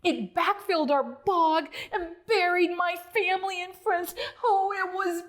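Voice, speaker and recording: A woman's voice: very high voice, a little slow, pitched above the performer's own voice. Woman voicing a squealing, panicky tiny tree frog. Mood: distressed, panicked. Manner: stuttering, shrieking, squealing, repeats words. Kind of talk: children's storybook character reading.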